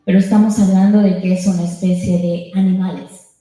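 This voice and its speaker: A woman's voice: on the low side, little pitch movement, fast, warm tone. A native Spanish-speaking woman with a Spanish accent, giving a public comment speech in short passages as a union member. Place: indoor public meeting hall. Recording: echoey meeting room.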